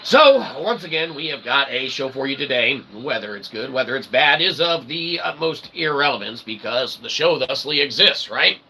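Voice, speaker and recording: A man's voice: mid-range voice, expressive, moderate pace, hardly any pauses, bright, forceful tone, a little hiss or hum, poor audio. A man hosting a comedic philosophy show in a mock-grandiose, wordy monologue with ornate phrasing. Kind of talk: comic monologue.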